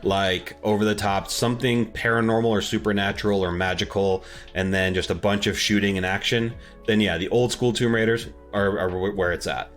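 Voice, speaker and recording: A man's voice: on the low side, fairly even intonation, fairly quick, few pauses, soft background music. A man co-hosting a podcast, chatting casually about films and games in an informal, slangy way. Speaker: podcast co-host.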